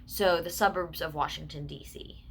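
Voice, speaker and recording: A woman in her twenties telling a personal story in a casual, candid vlog, full of quick asides and self-corrections. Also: sits low, fairly even intonation, vocal fry, slight background noise. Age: 20s. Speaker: vlogger.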